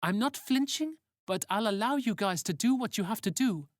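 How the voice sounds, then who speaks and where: A narrator putting on a high-pitched, anxious, determined young man. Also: expressive, moderate pace, no room sound, studio quality, close to an everyday voice. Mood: insistent, flustered. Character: nervous, determined young man seeking his sister.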